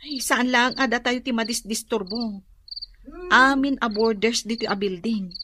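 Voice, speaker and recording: A woman plays a concerned, sleepless neighbour in a radio drama. Her voice is fairly high, fretful and sympathetic. Clear night insect effects chirp behind her. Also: measured pace, noisy background, close to an everyday voice. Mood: pitying, fretful. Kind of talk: Filipino radio drama acting.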